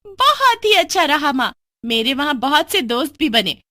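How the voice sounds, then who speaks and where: A woman voicing a young half-goat witch girl, an animated, eager daughter in a storybook cartoon. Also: sits high, normal speed, no echo, studio quality, pitched above the performer's own voice, heavily acted. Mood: cheerful. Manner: excitable, pleading, turning gleefully menacing.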